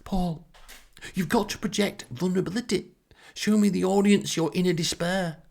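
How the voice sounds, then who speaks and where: A man's voice: high voice, fairly even intonation, moderate pace, vocal fry, a little mellow, voice pushed up. A man voicing a dramatic, intense woman critic with urgent theatrical delivery in a comic story.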